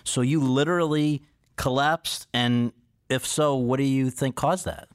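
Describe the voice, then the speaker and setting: Casual podcast host guy, mid pitch, reads his intro then eases into chatty questions, office recording. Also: steady tone, clean tone. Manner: measured, scripted intro then conversational questions. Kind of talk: interview podcast.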